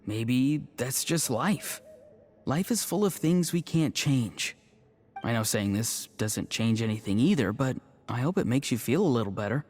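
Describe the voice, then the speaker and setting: A man's voice: medium pitch, animated, unhurried, faint music behind. An actor playing a kind, reassuring young man, a shaman's grandson, in a video game. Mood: gentle, consoling. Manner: gentle, reassuring, philosophical.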